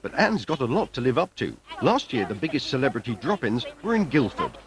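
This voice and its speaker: A man's voice: medium pitch, big pitch swings, fairly quick, a crowd in the background. A British man reading wry, scripted documentary narration as a voice-over. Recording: voice-over with music, from VHS tape.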